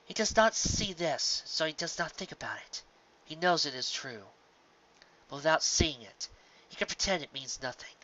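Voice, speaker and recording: A reader voicing a measured, grave elder pony princess. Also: mid-range voice, animated, bright, forceful tone, muffled recording. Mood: pensive. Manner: measured, halting, few words. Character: elder pony princess sister, calm, wise, grave, weary.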